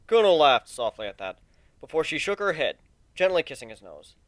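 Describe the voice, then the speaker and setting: Expressive male storyteller, close dry sound. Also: a little high, fairly quick, no room sound. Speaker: narrator. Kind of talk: dramatic reading of fan fiction.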